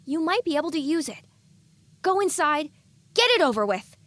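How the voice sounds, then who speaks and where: Woman as an anxious high-voiced young pony. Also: big pitch swings, moderate pace, fairly bright tone, no room sound. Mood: urging herself. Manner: earnest, worried self-talk. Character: anxious young female pony's inner voice, self-prodding. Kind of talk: audio drama inner monologue.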